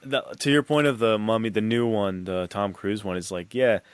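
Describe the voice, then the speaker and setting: Low, dry-sounding man hosting a podcast. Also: steady tone, moderate pace, smooth, no echo. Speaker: podcast host. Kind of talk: casual chat, film commentary.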